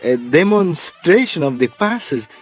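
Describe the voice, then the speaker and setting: An actor playing a deferential Venezuelan house servant with a Spanish accent, husky, polite and hesitant as he searches for words in an old-time radio drama. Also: fairly high pitch, sing-song, a little slow, soft background music, full character voice. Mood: eager, admiring. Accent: Spanish-accented English.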